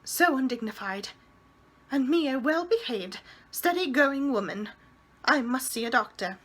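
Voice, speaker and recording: A woman's voice: lively intonation, unhurried, fairly bright tone, full character voice. A woman voicing a prim, fussy older landlady who is easily scandalized and talks indignantly. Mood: moaning. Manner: indignant, prim, shrill when alarmed.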